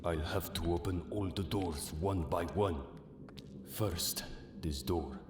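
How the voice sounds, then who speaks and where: Male actor as grave mystic keeper of ancient magic, very low voice, unhurried, portentous, audio drama delivery. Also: heavy background noise. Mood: wary, searching. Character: solemn, mysterious Egyptian mystic man wielding ancient magical items. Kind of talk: audio drama acting of a manga. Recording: echoey, long cavernous reverb.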